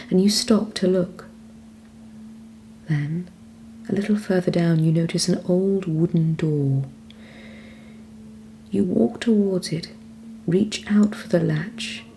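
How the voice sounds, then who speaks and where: A woman narrating a scripted guided meditation in a calm, measured, soothing way, with phrases that often trail off softly and breathily. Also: deep voice.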